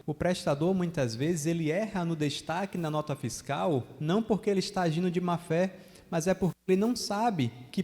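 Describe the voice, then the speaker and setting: A man's voice: a little high, a little mellow. Brazilian man lecturing in a reverberant hall. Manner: didactic, poses rhetorical questions to listeners. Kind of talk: explanatory lecture on tax rules.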